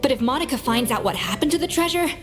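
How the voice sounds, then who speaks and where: An actress playing a poised, sharp-minded young woman investigator in a video game, speaking precisely and seriously. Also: high-pitched, animated, fast talker. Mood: serious deduction. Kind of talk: video game character dialogue. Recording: music under the voice. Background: game music.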